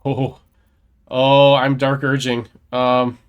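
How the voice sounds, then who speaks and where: Mid-pitched man doing game playthrough. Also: monotone, slow, clear, resonant tone, dull audio. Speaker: gaming streamer voicing his player character. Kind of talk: let's play commentary with in-character dialogue read aloud.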